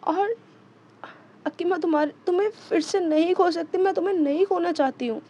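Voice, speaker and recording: A woman's voice: very high voice, a little fast, dark tone, a little hiss or hum, voice pushed up. A woman voicing a distraught young woman who cries and stammers as she begs her spouse not to leave. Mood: pleading. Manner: stammering, tearful pleading. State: crying.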